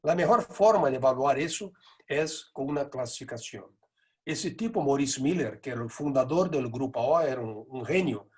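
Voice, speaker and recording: A middle-aged man, a native Portuguese speaker with a Buenos Aires tinge in his Spanish, gives a measured conference lecture with frequent hesitations. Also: mid-range voice, animated, a little mellow, breathy edge. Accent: Portuguese-accented Spanish with a Buenos Aires lilt. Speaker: neurosurgery lecturer.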